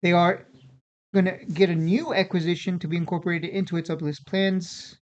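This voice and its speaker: Casual trading-channel host, mid-pitched, informal and repetitive, on slightly lo-fi audio. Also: moderate pace. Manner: informal, repeats and restarts phrases. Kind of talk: casual unscripted market commentary.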